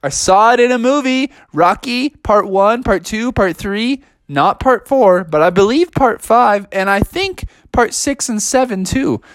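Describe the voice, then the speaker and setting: A man's voice: high-pitched, expressive, measured pace, few pauses, dry recording, voice pushed up. A man voicing a cocky, chatty kangaroo boxer in a silly voice for a children's story. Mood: chatty boasting.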